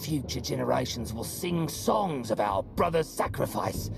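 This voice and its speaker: A man playing a brash, sardonic mercenary warrior in a video game, gravelly and theatrical, mocking and boastful. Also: medium pitch, expressive, moderate pace. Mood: sardonic report. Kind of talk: video game cutscene acting. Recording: music behind the voice.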